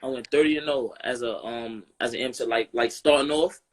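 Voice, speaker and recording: A man's voice: mid-range voice, brisk, low-quality recording. A young man with a New York accent, a boxer talking casually in an interview, laid-back and often adding "you feel me?". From Brooklyn, New York.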